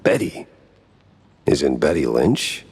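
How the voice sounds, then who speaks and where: An actor playing a world-weary, wisecracking mouse private detective in a noir video game, gruff, gravelly and deadpan. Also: male voice, very deep voice, takes it slowly, full character voice. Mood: impatient. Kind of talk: noir game cutscene acting.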